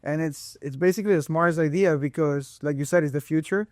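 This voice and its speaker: Slangy young male host, fairly high. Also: fast, a little mellow, no echo, clean studio sound. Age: young adult. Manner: casual and slangy. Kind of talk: casual interview talk.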